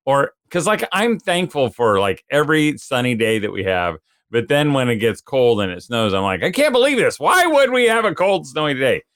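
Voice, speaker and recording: A man's voice: medium pitch, very animated, normal speed, few pauses, fairly bright tone, clean tone, no echo, clean studio sound. A man co-hosting a casual podcast, telling stories with frequent laughs and playful quoted voices. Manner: chatty storyteller, laughs often, acts out quoted voices. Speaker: podcast co-host.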